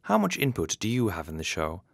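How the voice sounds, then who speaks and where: A man's voice: lowish voice, wildly swooping pitch, brisk, gravelly, husky, no echo, studio quality. A man reading out scripted questions as an interviewer.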